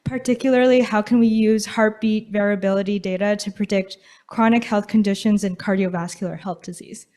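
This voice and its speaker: A woman, a designer, giving an upbeat, outgoing short pitch at an event. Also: steady tone, fairly quick, dark tone.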